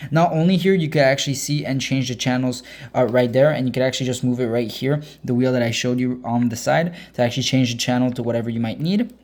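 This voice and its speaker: A man giving a casual hands-on product review as a video host, explaining informally with frequent hesitant uh pauses. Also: mid-range voice, a little mellow.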